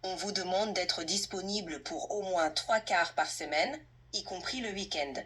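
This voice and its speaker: A woman's synthetic assistant voice, husky, speaking fluently and politely as a tutor in a conversational role-play. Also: on the low side, measured pace, fairly bright tone. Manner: polite, fluent, helpful, orderly. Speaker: AI language tutor playing an employer.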